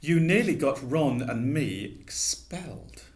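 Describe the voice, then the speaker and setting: A man's voice: mid-range voice, lively intonation, a little fast, lightly acted. A man voicing a hurt and irritable schoolboy in a plain, earnest tone.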